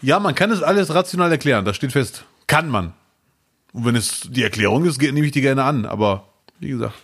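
A man co-hosting a podcast, joking and reacting quickly with exclamations in casual chat. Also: mid-range voice, animated, a bit bright, breathy edge. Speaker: podcast co-host.

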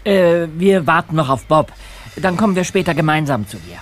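A man's voice: on the high side, sing-song, normal speed, faint music behind. An actor playing a teasing, skeptical teenage boy detective with a dry, needling manner in a German audio drama. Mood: skeptical, casual.

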